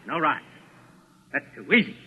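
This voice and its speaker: An actor playing a menacing, short-tempered American gangster boss, bullying and slangy, in an old-time radio suspense style. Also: male voice, a little high, sing-song, normal speed, husky. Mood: sadistic menace. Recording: dull, noisy old radio broadcast.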